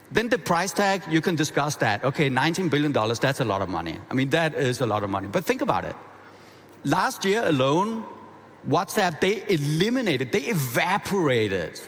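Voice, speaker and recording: A man with a Danish accent giving a conversational, energetic conference keynote, often restarting his phrases. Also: a little high. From half Danish. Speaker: keynote speaker. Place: large conference hall. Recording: reverberant hall.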